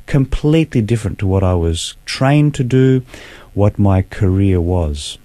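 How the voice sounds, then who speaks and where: A man, a guest telling his personal faith story in an earnest, measured way. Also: lowish voice, expressive, dark tone, no echo. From Australia. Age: 40s. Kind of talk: personal testimony in interview.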